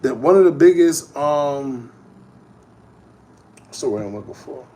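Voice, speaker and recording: A man's voice: medium pitch, animated, measured pace, mellow. A man in his thirties hosting a casual livestream chat, talking in slangy, rambling street speech and answering viewer comments. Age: 30s. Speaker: host.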